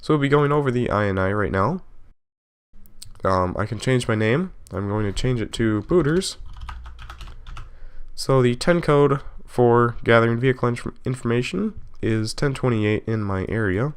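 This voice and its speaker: A man narrating a software tutorial casually, explaining step by step in an informal way and correcting himself as he goes. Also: mid-range voice, expressive, moderate pace, clean tone. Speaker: video tutorial host.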